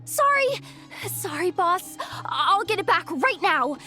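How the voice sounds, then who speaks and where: A hardworking young courier girl in a video game, eager to please. Her voice is very high and swoops freely, at an unhurried pace, over faint music. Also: very animated, pushed and bright, heavily acted. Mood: flustered. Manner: hesitant stammering, eager to please.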